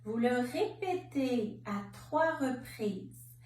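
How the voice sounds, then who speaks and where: A woman with a slightly high voice leads a guided relaxation. She gives calm, measured instructions in short phrases with pauses. The sound is very roomy and a little distant from the mic. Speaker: yoga nidra instructor. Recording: roomy, distant mic, faint background noise.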